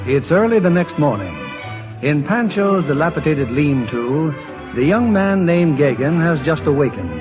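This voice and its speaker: A man's voice: fairly high pitch, lively intonation, normal speed. A man narrating as host of a 1940s radio drama, scripted and dramatic. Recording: muffled old radio, music behind.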